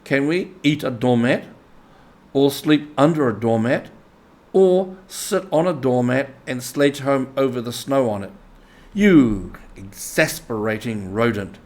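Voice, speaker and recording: A man voicing a grumbling, stubborn mole in a storybook reading, pettish, deliberate and sarcastic. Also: medium pitch, darkish tone, lightly acted. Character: grumbling, stubborn, literal-minded male mole. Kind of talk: animal character in storybook reading.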